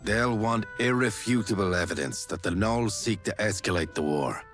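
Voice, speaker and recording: A man playing a stern, measured military captain in a fantasy game, formal and authoritative. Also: on the low side, fairly bright tone, natural voice. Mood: grave, commanding. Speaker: video game character. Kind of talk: English game cutscene voice acting. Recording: music behind the voice.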